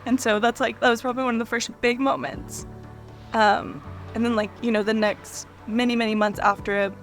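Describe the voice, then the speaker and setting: A woman in her thirties telling personal stories casually as an interview guest, in very informal, animated speech. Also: on the high side, brisk, soft background music. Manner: informal, animated anecdotes peppered with "like".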